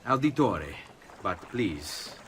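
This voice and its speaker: A man's voice: lowish voice, very animated, slow pace, a bit bright, a little hiss or hum, heavily acted. An actor playing a confident, protective young swordsman with an Italian accent in a video game cutscene. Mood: assured. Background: music behind the voice.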